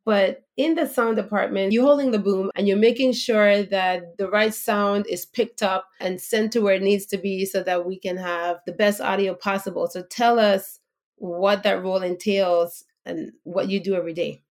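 A woman hosting a podcast, interviewing a guest in a slow, deliberate, conversational way. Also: medium pitch, steady tone.

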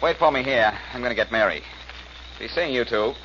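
An actor playing a confident, wisecracking amateur detective in 1940s radio drama style, with a brisk, wry, teasing manner. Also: male voice, medium pitch, fairly bright tone, close to an everyday voice. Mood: brisk, determined. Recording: muffled, noisy old radio recording.

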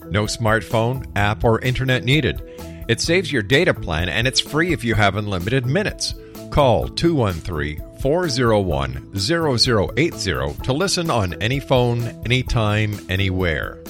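A man presenting a radio show and reading announcements on a studio microphone. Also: on the low side. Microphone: studio broadcast mic.

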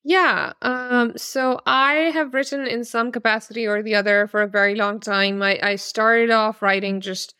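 A woman's voice: fairly high pitch, fairly even intonation, moderate pace, few pauses, bright, forceful tone, clear, resonant tone, dry recording, clean studio sound. A woman in her thirties from Pakistan, a novelist answering interview questions thoughtfully, with many fillers and restarts. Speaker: novelist guest.

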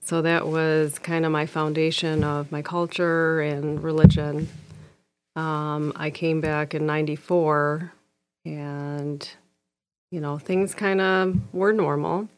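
A woman's voice: low-pitched, flat delivery, slow pace, slight background noise. A woman in her thirties, from Egypt, a guest in a casual interview, speaking frankly and firmly with frequent ums.

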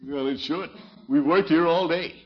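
An actor playing a pompous, blustery middle-aged uncle and small-town official in a 1950s radio comedy, with a theatrical delivery. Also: male voice, fairly high pitch, normal speed. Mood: pleased, self-important. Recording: dull, noisy old radio recording.

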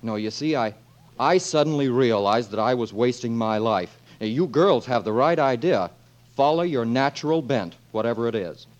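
Bashful gee-whiz young fellow, played by an actor, mid-range voice, hesitant sincerity, old-time radio comedy. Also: male voice, medium pitch, lively intonation, moderate pace, muffled recording, natural voice. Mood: earnest, bashful. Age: young adult. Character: earnest, naive, bashful young male suitor. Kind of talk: 1940s radio comedy acting.

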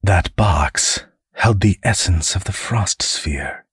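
A man voicing a mocking, bloodstained male demon in an audiobook, with a breathy, sly delivery. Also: low voice, expressive, slow pace, a bit bright, no room sound, clean studio sound, full character voice. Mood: gloating.

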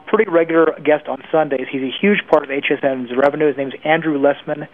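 A man speaking as a guest expert in an interview, giving conversational advice with examples over a phone line. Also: a little high. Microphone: telephone. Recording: tinny phone line on radio.

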